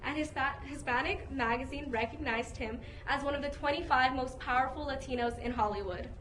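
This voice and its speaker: A woman's voice: high voice, fairly quick, slight background noise, low-quality recording. A woman co-hosting an interview show, reading a scripted introduction in an upbeat presenter manner. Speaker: co-host. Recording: voice over loud music.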